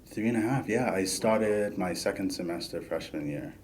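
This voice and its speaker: A man, a podcast guest talking casually with many pauses and fillers. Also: low-pitched, crowd noise. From Bahamas. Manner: relaxed, many fillers while thinking. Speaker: podcast guest, PhD student. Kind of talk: casual interview chat.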